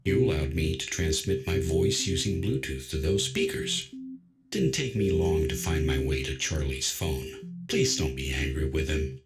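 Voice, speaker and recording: A man's voice: rumbling low, lively intonation, moderate pace, over loud music. A man playing a genial, self-assured artificial intelligence in an audio drama, polite, chatty and matter-of-fact.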